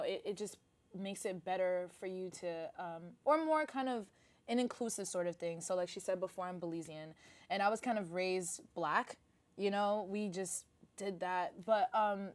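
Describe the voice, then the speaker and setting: A young woman co-hosting a casual talk show, reflective and a little searching as she talks. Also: fairly low pitch. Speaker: podcast co-host. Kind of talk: casual discussion.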